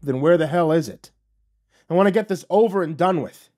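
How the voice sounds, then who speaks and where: A man's voice: mid-range voice, a little slow, a little mellow, pitched above the performer's own voice, close to an everyday voice. Man playing a sour, sardonic story hero. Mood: sour, defiant. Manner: muttering, defiant.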